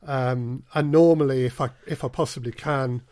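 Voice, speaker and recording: A man speaking as a podcast guest in a casual interview, explaining things in a measured, hesitant way with repeated phrases. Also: medium pitch, fairly even intonation, darkish tone, dry recording. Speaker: podcast guest, audio drama producer and casting director.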